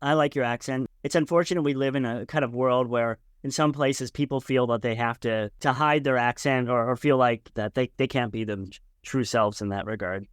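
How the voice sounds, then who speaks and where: A man's voice: medium pitch, normal speed, hardly any pauses, clean tone, no room sound, clean studio sound. A man hosting an interview podcast in a conversational, thoughtful and supportive way. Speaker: podcast host.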